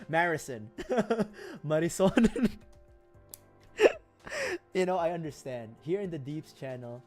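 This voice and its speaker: A young man with a slightly high voice and a Filipino accent chats loosely into a desk mic. He rambles through quick asides and keeps breaking into giggles. Soft music plays faintly under him. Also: expressive. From Philippines. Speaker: streamer. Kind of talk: casual livestream chat.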